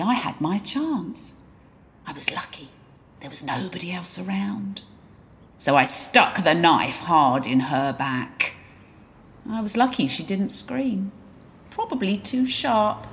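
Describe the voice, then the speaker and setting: A woman's voice: mid-range voice, slight background noise. A woman playing a smug prim killer. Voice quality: prim, deliberate, gloating. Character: smug self-righteous woman murderer narrating. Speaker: narrator. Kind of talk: amateur audio drama acting.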